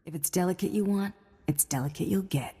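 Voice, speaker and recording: Low, husky bounty hunter heroine. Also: female voice, a little fast, warm tone, in a large hall. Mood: cocky, teasing. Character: female alien bounty hunter, cocky, principled, dry-witted. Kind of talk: video game voice acting.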